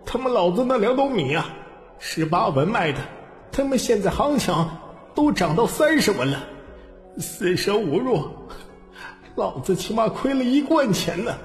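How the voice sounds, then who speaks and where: A man voicing an excitable, self-pitying middle-aged male grain shop clerk in an audiobook, wailing and exclaiming. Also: high voice, normal speed, roomy sound, long reverb tail, soft background music, voice pushed up. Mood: wailing grief.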